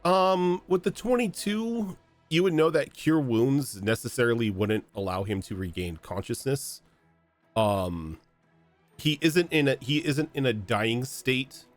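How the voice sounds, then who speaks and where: A man's voice: medium pitch, lively intonation, a little slow, soft background music. A man running a tabletop game as game master, narrating with many fillers and restarts over an online call. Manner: hesitant, full of fillers and restarts.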